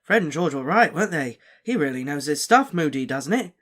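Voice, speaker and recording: A man's voice: on the high side, animated, brisk, a bit bright. An easygoing teenage schoolboy, as a male narrator puts him on, chatting loosely and casually. The voice sits only a little above the narrator's own. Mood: casual, chatty.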